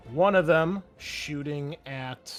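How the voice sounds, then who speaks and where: A man's voice: a little high, slow pace. Casual game master, a man, over music. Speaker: game master and show host. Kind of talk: narrating a tabletop roleplaying game. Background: music behind the voice.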